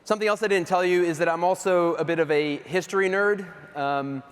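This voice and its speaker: A man's voice: high-pitched, fairly even intonation, fairly quick, clear, resonant tone. A middle-aged man from the US East Coast giving a casual keynote talk on stage, chatty and self-deprecating with lots of fillers. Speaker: keynote speaker. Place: large hall stage. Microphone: handheld or stage mic. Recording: reverberant hall.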